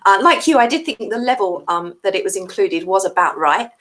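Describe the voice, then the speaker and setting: Medium-pitched woman co-hosting a casual book chat. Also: animated, a little fast, fairly bright tone. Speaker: co-host of book read-along. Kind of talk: casual livestream book discussion.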